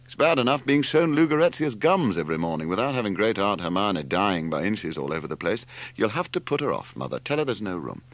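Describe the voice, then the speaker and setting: Audiobook elder brother, acted by a male reader: young, English, imperious, witty, emphatic, creaky edge, very animated. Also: fairly low pitch, a little fast, hardly any pauses. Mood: scornful. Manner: emphatic, exasperated, pompous.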